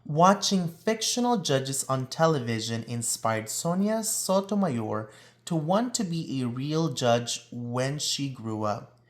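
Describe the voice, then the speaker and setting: A man reads a children's picture book aloud at a slow, deliberate pace. His pitch moves with lots of animation, and he draws out key words for emphasis like a storyteller. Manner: deliberate, expressive storytelling delivery with drawn-out emphasis. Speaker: read-aloud reader.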